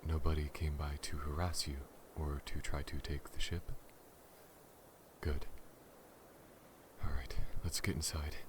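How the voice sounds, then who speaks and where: A man playing a guarded, protective alien smuggler pilot in an ASMR audio roleplay, earnest and halting, speaking to the listener. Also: extremely low pitch, level tone. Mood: caring, relaxed. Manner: halting, stammers when flustered, earnest. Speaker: voice actor. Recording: audible background noise.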